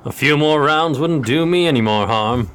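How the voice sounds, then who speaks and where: A man playing a courtly, uneasy gentleman gambler in a 1920s period radio drama, speaking formally. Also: fairly high pitch, moderate pace, a little hiss or hum, natural voice.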